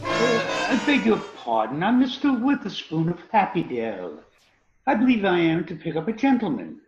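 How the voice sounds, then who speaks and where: An actor playing a polite, hesitant, formal asylum superintendent in a radio comedy, recorded with dull, echoey, noisy sound. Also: male voice, high-pitched, wildly swooping pitch, moderate pace, music in the background. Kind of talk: stage comedy acting for radio theatre.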